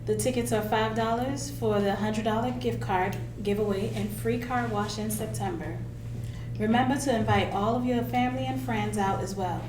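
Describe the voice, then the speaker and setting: A composed support group leader acted by a woman in a drama read-through. She gives orderly announcements in a fairly high voice, in an echoey room with clear background noise. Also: normal speed, natural voice. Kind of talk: scripted dialogue read aloud.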